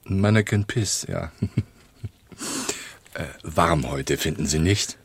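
An actor playing a shrewd, courteous underworld fixer in a radio drama, with a businesslike manner. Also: male voice, sits low, slow, vocal fry, a little hiss or hum, exaggerated voice. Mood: casual, cautious. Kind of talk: German radio drama dialogue.

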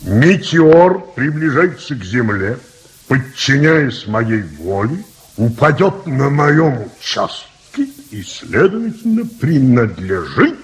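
A man's voice: medium pitch, big pitch swings, takes it slowly, breathy edge, heavily acted, rough recording. A man acting a formal, agitated chairman of an international conference in a radio play, emphatic and official. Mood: solemn, official. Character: agitated male conference chairman calling delegates to order.

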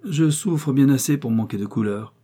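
A man's voice: medium pitch, normal speed, warm tone, slightly rough, voice pushed up, natural voice. A man voicing a peevish, haughty young heiress who speaks in a curt, dismissive way.

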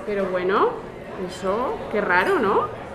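A woman's voice: medium pitch, very animated, takes it slowly, crowd noise, noisy background. A woman with an accent from Spain playing a distrustful, blunt, colloquial friend in a naturalistic audio drama. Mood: indignant. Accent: Spanish, from Spain.

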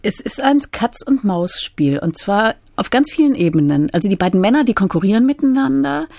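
A woman's voice: medium pitch, lively intonation, a little fast, creaky, darkish tone, no room sound. A woman hosting a literary radio interview in an engaged, analytical way.

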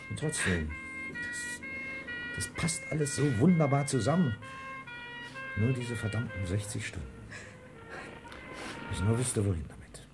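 An actor playing a gruff, sarcastic, domineering male police inspector in a German radio crime drama, speaking curtly. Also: medium pitch, lively intonation, a little slow, long pauses, over music, close to an everyday voice. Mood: probing, sardonic. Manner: curt, commanding, sardonic.

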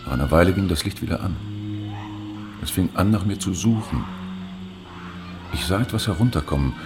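An actor playing a fisherman eyewitness in a radio drama, giving plain, measured testimony. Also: a bass voice.

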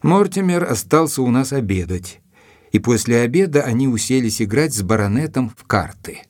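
An actor playing an earnest gentleman doctor in an audio drama, narrating and questioning in a measured, serious, deliberate way. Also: medium pitch, animated, lightly acted. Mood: measured, reflective.

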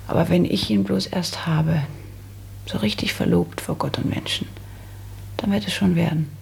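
An actress playing a prim, practical and resolute young woman in a German radio drama, speaking firmly with a husky, creaky voice. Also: fairly low pitch, a little mellow, slight background noise. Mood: confident. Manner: firm, matter-of-fact.

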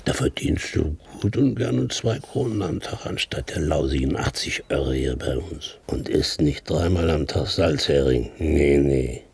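An older man playing a poor, aging farmhand in a radio drama, a loving and dreamy father with a husky voice. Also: extremely low pitch, animated, unhurried, few pauses, a little mellow, exaggerated voice. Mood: wistful enthusiasm. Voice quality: husky, gravelly.

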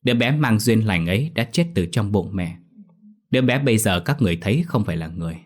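A man voicing a calm older Buddhist monk, giving a quiet, solemn warning. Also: lowish voice, fast.

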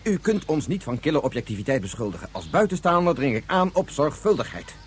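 A man's voice: fairly high pitch, sing-song, fast talker. A man playing an earnest space traveller from Earth in a radio drama, wordy, hesitant and argumentative. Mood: indignant, reasoning. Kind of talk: Dutch radio drama acting.

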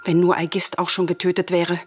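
A woman's voice: fairly low pitch, flat delivery, brisk, slight background noise, lightly acted. An actress playing a fervent, vengeful daughter of a murdered king in a classical radio tragedy, solemn and declamatory.

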